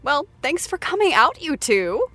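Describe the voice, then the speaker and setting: A woman's voice: high-pitched, sing-song, a little slow, pushed and bright, soft background music. A woman hosting a playful after-show interview, effusive and teasing with her guests.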